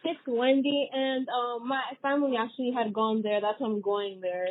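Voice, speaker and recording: A woman's voice: a little high, steady tone, moderate pace, a little hiss or hum. A woman calling with a casual inquiry, hesitant and unsure, over the phone. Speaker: caller, prospective patient. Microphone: telephone. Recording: narrow, tinny phone line, dry.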